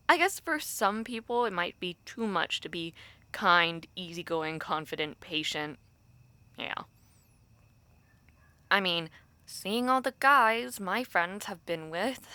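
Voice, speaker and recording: Woman playing a bright-voiced, friendly college girl. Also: mid-range voice, no room sound. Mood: wistful, gently teasing. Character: friendly, sentimental young female university student, close friend. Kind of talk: intimate audio roleplay, casual one-sided chat.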